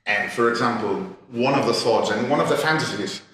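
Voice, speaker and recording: A man, speaking as a panellist in a discussion, halting and restarting, repeating words as he searches for them. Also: medium pitch, fairly bright tone, a little hiss or hum. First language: Catalan. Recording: echoey gallery room, distant mic.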